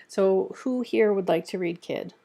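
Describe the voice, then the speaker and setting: Casual woman host with a creaky, gravelly edge. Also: mid-range voice, a little slow, warm tone. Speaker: host of an online screenplay table read. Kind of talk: casual moderating, organizing a group. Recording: online voice chat.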